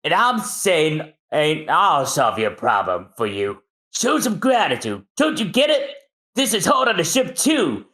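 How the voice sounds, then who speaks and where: A man's voice: high-pitched, bright, forceful tone, pitched above the performer's own voice, full character voice. A man voicing a young male pirate who lectures insistently in a raised voice.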